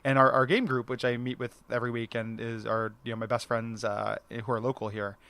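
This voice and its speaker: A man with a smooth, clear voice, chatting loosely as a guest on a podcast. He laughs often and fills his talk with likes and ums. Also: mid-range voice, flat delivery, brisk, bright, forceful tone. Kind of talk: casual chat. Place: Portland.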